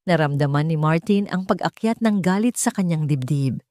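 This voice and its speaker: A woman's voice: low-pitched, lively intonation, normal speed, warm tone, dry recording, clean studio sound. A woman with a Filipino accent reading a scripted, dramatic audio story as its narrator.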